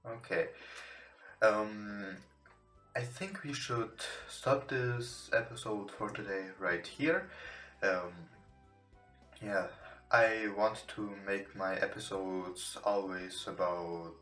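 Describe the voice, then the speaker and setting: A man who is not a native English speaker, reading a visual novel aloud with casual commentary in a somewhat halting way. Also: fairly low pitch, fairly bright tone. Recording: roomy, echoing home recording. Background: game music.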